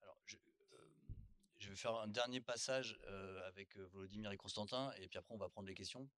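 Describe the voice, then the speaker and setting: A man's voice: lowish voice, fairly quick, fairly bright tone. A man moderating a round-table discussion, loosely phrasing long questions with fillers.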